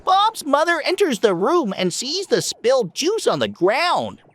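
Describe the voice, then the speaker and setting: Slow, high-voiced woman storyteller. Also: huge pitch swings, no room sound. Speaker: narrator. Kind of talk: scripted children's story narration.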